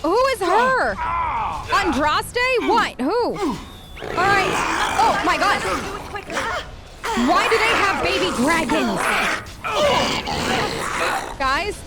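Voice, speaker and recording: A woman's voice: very high-pitched, sing-song, very slow, long pauses, very noisy. A woman giving casual, playful let's play commentary, expressively talking to herself and to the game's characters. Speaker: gaming video host. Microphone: close dry voice-over microphone.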